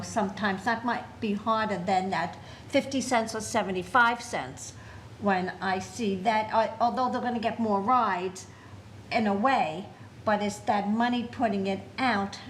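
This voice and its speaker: An older woman with a New York accent raises her concerns at a public meeting. She speaks in an earnest, halting way, full of fillers and restarts, at a mid pitch. A faint hiss sits under her voice. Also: rough recording. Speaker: alderman on a city committee.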